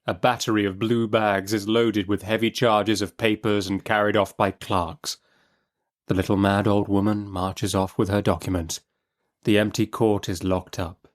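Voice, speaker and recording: A man's voice: fairly low pitch, little pitch movement, moderate pace. Man narrating a classic novel. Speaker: narrator and host. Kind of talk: dramatic literary audiobook narration.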